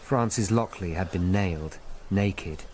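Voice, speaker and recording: An actor playing a troubled Tudor lawyer, narrating a murder hunt gravely in a radio drama. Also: male voice, sits low, measured pace, background noise. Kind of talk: scripted first-person narration in a radio drama.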